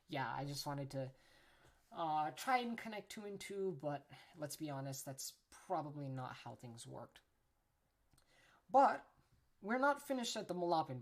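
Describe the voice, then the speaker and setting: A woman hosting a casual educational podcast, chatty and informal, often tagging her points with "right?", correcting herself, and apologizing for her pronunciation. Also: sits low. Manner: chatty, tags lines with "right?", frequent self-corrections. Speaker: podcast host.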